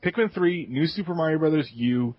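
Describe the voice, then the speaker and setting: A man co-hosting a casual gaming podcast, relaxed and chuckling, often trailing off mid-sentence. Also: on the high side, a little fast. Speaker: podcast co-host. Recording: dull lo-fi home podcast mic.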